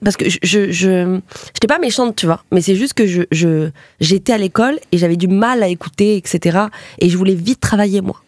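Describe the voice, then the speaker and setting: A woman in her twenties from Paris, a comedian guest chatting in a podcast interview, telling stories in a relaxed way with many fillers. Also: mid-range voice, a little fast, no room sound, clean studio sound. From Paris, France. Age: 20s.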